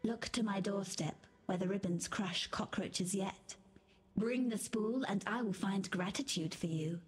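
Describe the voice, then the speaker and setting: An actress playing a mad, weepy, fragile queen in a video game, speaking in slow, disjointed riddles. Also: medium pitch, breathy edge. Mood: pleading, eerie. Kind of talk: video game character dialogue. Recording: music behind the voice.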